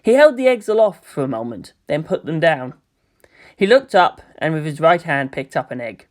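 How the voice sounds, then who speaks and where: A man's voice: on the high side, very animated, normal speed. A man reading scripted fiction aloud as a storyteller, in the style of an audiobook narrator.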